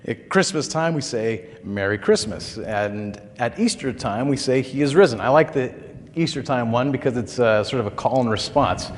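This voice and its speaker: Male pastor, mid-pitched, slightly hoarse, conversational storytelling sermon, long church reverb. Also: expressive, moderate pace. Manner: conversational, folksy storytelling with filler words. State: recovering throat, slightly hoarse.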